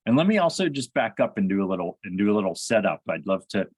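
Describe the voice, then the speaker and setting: A man hosting an interview, halting and often restarting his phrases. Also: fairly low pitch, very animated, slightly rough, no room sound, studio quality. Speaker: podcast host. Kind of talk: conversational interview.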